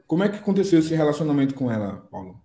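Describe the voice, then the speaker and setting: Male interviewer, Brazilian accent, direct questions, fast delivery, slightly high pitch, very roomy sound. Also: big pitch swings, dark tone. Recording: echoey roomy interview audio.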